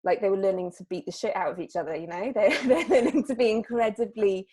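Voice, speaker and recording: A woman artist from Wales, chatting casually in an interview and often pausing on fillers and restarting her sentences. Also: medium pitch, warm tone, breathy edge. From South Wales. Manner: hesitant, lots of fillers and restarts.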